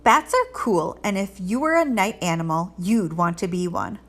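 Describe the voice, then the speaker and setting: Woman being a spirited school girl in a kids story, bossy insistent, pitched up a bit. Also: big pitch swings, normal speed. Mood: urging. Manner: insistent, emphatic. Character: bold, spirited young schoolgirl who defends bats.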